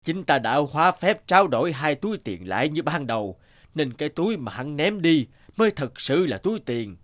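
A man voicing a wise, stern old river god in a cartoon, speaking slowly and deliberately. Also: fairly high pitch, very animated. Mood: solemn, benevolent. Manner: slow, deliberate, pronouncing.

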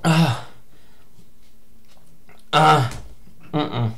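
A young man giving angry, swearing commentary while playing a video game, his voice going hoarse. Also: a little high, extremely slow, a bit bright, a little hiss or hum. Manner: ranting, swearing, frustrated outbursts. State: losing his voice. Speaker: gameplay streamer.

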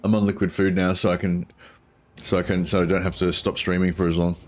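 A man, a croaky voice and a headset, chatting casually while streaming a strategy game, rambling with many ums. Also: low voice, level tone, fairly quick. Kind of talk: casual gameplay commentary.

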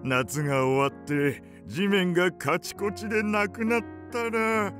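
A man playing a stuffy, self-assured rich mole suitor in a radio drama, speaking in a deliberate, formal manner. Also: high voice, fairly bright tone, soft background music. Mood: self-satisfied.

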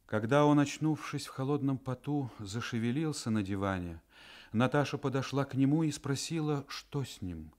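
A middle-aged Russian man giving a measured, solemn literary reading for radio. He rises to tense urgency in places and drops to a hushed near-whisper in others. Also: mid-range voice. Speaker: narrator reading a classic novel. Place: radio studio.

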